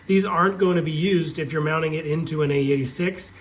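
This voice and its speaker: A man's voice: on the high side, brisk. A man who is sick and has nearly lost his voice, explaining car parts step by step in his workshop. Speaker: shop owner showing parts. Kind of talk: casual product update. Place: workshop with a 3D printer running. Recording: faint printer hum behind. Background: faint printer noise.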